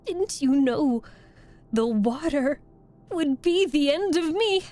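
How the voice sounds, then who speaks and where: A woman's voice: high-pitched, lively intonation, takes it slowly, dark tone, soft background music, pitched above the performer's own voice. A woman voicing a wicked old witch in a dramatic storybook reading. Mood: wailing, despairing. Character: wicked old witch, scornful, wailing as she melts.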